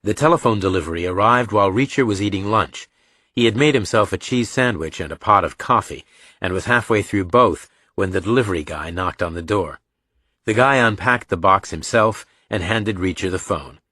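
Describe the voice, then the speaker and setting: Low-voiced male audiobook narrator, very dry. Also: moderate pace, pushed and bright, dry recording. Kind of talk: scripted storytelling narration.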